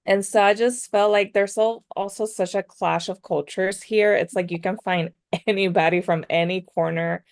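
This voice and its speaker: A woman's voice: medium pitch, steady tone, moderate pace, no room sound, clean studio sound. A woman, telling personal stories casually as a podcast guest, sometimes switching between English and Spanish. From Dominican Republic. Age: 30s. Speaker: podcast guest, clothing brand owner.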